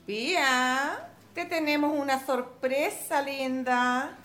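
A woman's voice: high voice, slow pace, fairly bright tone, faint music behind. An actress playing a well-off, middle-aged Chilean mother in a radio drama, doting but class-conscious.

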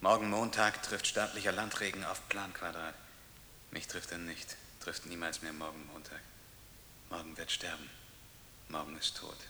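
An actor playing a dying creature in a radio play, speaking in halting, incantatory pleas through long reverb. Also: male voice, deep voice, little pitch movement, pushed and bright. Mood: fatalistic. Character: dying, pleading creature torn between two angels. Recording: echoing, hissy, distant-sounding old radio recording.

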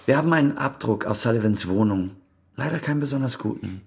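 An actor playing a dogged, suspicious police detective in a radio play, matter-of-fact and probing, with a gravelly voice. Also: male voice, medium pitch, expressive, fast. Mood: probing, businesslike.

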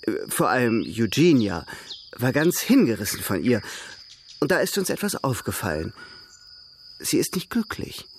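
An actor playing an urbane young brother in a radio drama, persuading in a courtly, playful, eager way. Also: male voice, medium pitch, big pitch swings, moderate pace, long pauses, slight background noise. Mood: eager, persuasive.

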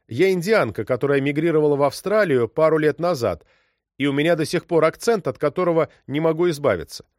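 A man reading out a series of short first-person stories as scripted narration, in a calm, even storytelling manner. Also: medium pitch, no room sound, clean studio sound.

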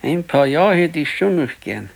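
A man's voice: fairly high pitch, animated, unhurried, vocal fry. A man playing an ailing, stubborn, brooding old husband in a radio play, laconic and weary, with a southern German, Bavarian accent. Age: older. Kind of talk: naturalistic radio play acting.

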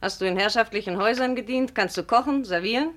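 An actress playing a grasping, scheming lodging-house landlady in a 1950s German radio play, with a sharp, bullying, insinuating manner. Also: fairly high pitch, quick pace, lightly acted. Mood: harsh, threatening.

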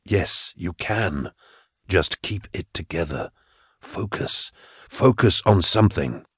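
A man playing a calm, refined telepath. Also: low-pitched, slightly rough, no room sound, studio quality, full character voice. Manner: polite, measured, hushed. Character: calm, refined middle-aged male telepath.

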